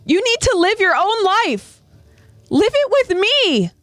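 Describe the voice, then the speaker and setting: A woman voicing an earnest, insistent young heroine in an animated story, emotional and pleading. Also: very high voice, slow pace, no echo, exaggerated voice.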